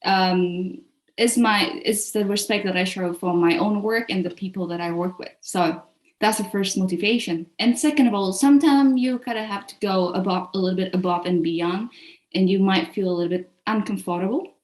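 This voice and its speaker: A woman's voice: medium pitch, normal speed, darkish tone. A woman from Vietnam, speaking candidly and earnestly as a webcast host in casual interview talk. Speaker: culinary association staff member and webcast host. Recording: echoey room sound.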